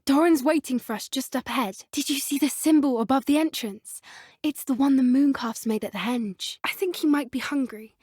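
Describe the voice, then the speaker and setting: A woman voicing an earnest, gentle schoolgirl witch in a video game, with a British accent and polite, well-spoken delivery. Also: sits high, normal speed, slightly rough, no echo, clean studio sound. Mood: earnest, curious. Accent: British English. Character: earnest, gentle schoolgirl witch who loves magical beasts. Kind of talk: scripted video game voice acting.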